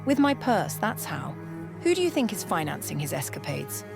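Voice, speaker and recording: A woman's voice: low-pitched, big pitch swings, moderate pace, vocal fry, heavily acted. A woman voicing a proud, scheming older aristocratic grandmother in a storybook, formal and assured. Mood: self-assured. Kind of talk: period storybook dialogue. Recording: music behind the voice.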